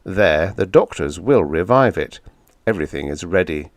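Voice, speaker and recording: A man voicing a calm, blunt visitor from the future who speaks in a flat, firm, matter-of-fact way. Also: deep voice, normal speed, no room sound.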